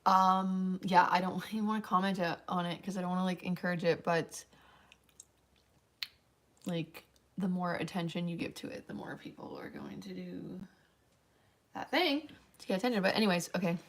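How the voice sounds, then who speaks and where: A young woman with a raspy voice, tired and a little worn at a late hour, chatting in a rambling, filler-heavy way as she answers her stream's chat. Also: fairly low pitch, fairly even intonation, normal speed, a bit bright. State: tired, voice wearing thin late at night. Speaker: livestreamer. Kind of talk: late-night casual stream chat, reading chat aloud.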